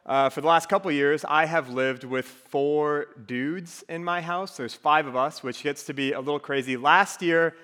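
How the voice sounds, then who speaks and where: A young man from Minnesota with a faint Minnesotan accent, giving a relaxed, joking talk to a student group. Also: a little high, in a large hall. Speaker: campus ministry speaker. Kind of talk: casual sermon to a student group.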